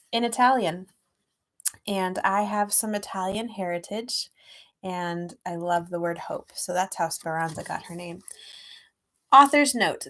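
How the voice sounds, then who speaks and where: A woman reading a children's storybook aloud expressively and gently, with chatty asides to young listeners. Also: mid-range voice. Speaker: children's author reading own book aloud.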